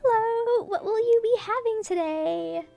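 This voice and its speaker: Woman putting on a chirpy, high-pitched cashier. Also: a little slow, gravelly, mellow, dry recording, highs cut off, faint music behind, exaggerated voice. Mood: cheerful. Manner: chirpy, service-polite. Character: perky fast-food restaurant cashier.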